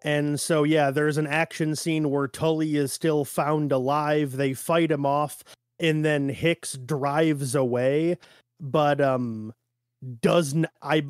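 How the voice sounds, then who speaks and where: Guy with a kinda high voice, podcast chat, lots of "like", halting, really dry recording. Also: no room sound. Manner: halting, peppered with "like". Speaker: podcast co-host. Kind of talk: casual discussion from notes.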